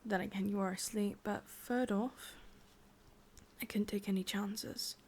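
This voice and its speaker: A woman with an English accent voicing an ancient, possessive, wistful witch in a slow, intimate, breathy ASMR whisper. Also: medium pitch, fairly even intonation, a little mellow, a little hiss or hum, close to an everyday voice. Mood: gently possessive. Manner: slow, intimate, musing, with lingering pauses. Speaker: ASMR roleplay performer. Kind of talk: whispered ASMR roleplay monologue.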